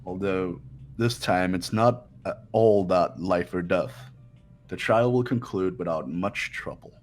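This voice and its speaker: A man voicing an arrogant, coldly aloof young man in a fan game dub, dismissive and deliberate. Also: deep voice, soft background music. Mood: smug, bored. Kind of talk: fan-dubbed visual novel acting.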